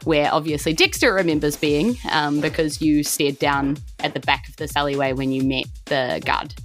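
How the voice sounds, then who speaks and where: A woman with a New Zealand accent narrating a tabletop game as game master in a loose, informal, halting way. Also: deep voice, fairly bright tone, faint music behind.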